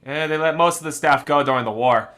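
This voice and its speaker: An actor playing a brisk, wry policeman in a radio murder mystery, talking in a conversational, emphatic way. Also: a little high, bright, forceful tone. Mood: matter-of-fact. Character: brisk, wry policeman briefing an inspector on suspects.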